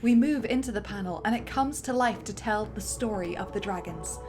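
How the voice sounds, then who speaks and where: A woman's voice: mid-range voice, a little fast. A woman narrating script directions in a dramatic reading, building up to the action beats, with music underneath.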